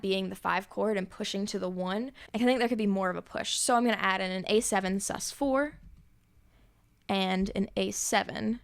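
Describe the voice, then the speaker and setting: High-voiced young woman with vocal fry. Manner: relaxed, explanatory, with thinking fillers. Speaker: songwriter explaining her arrangement. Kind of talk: casual music theory walkthrough.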